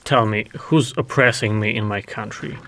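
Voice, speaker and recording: A man's voice: lowish voice, normal speed, fairly bright tone. A man from Ukraine, a Russian speaker, hosting a heated commentary in a sarcastic, mocking tone. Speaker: commentary host. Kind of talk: reaction commentary.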